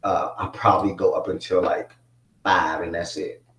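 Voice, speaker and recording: A man's voice: deep voice, little pitch movement, normal speed, a bit bright. A man in his twenties hosting a live sports talk show in a loose, rambling way with plenty of fillers. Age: 20s. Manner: rambling, laid-back, many fillers. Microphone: mic not connected, picked up from a distance. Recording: echoey room, voice far from mic.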